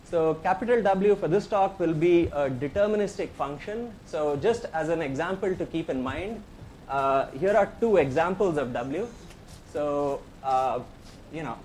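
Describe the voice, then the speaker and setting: Indian-accented male professor, slightly high pitch, talks through the material step by step and keeps inviting questions. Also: a little mellow, slight background noise. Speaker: professor giving a tutorial. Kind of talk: technical lecture.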